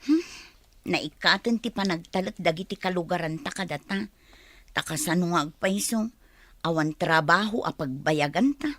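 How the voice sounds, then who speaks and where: A woman's voice: fairly low pitch, moderate pace, fairly bright tone, no echo. A woman with an Ilocano accent playing a poor, complaining young village wife in a radio comedy drama. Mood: downcast. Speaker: voice actor.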